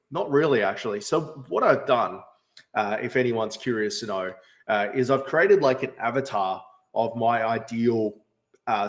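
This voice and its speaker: A man, hosting a casual live stream and answering chat questions with many fillers and restarts. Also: on the low side. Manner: rambling, frequent fillers and restarts. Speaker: livestream host answering chat.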